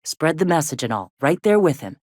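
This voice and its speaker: An actress playing a tough, weary rebel medic and second-in-command in a video game, speaking in a blunt, sardonic, foul-mouthed way. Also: female voice, low voice, big pitch swings, fairly quick, a little mellow, no echo, studio quality. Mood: frustrated, angry. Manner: blunt, earthy, plain-spoken, sardonic. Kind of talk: video game dialogue acting.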